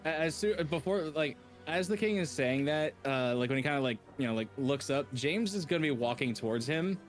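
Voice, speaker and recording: A man's voice: fairly high pitch, expressive, brisk, faint music behind. A man playing a tabletop game, describing his character's actions in a rambling, filler-heavy way. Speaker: player.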